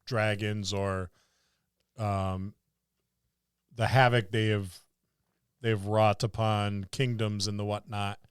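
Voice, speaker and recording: A man's voice: fairly low pitch, level tone, slow, long pauses, smooth, no echo, clean studio sound. A man co-hosting a podcast, talking casually about running tabletop games. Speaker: podcast co-host. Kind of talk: casual tabletop gaming discussion.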